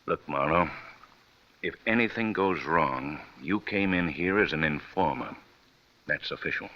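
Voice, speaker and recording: A stern prison warden in an old radio drama. His voice is very deep, his delivery formal, measured and shrewd, and the recording is dull and dated. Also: lively intonation. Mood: grave, firm. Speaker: actor in a radio detective drama. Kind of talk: mid-century American radio drama acting.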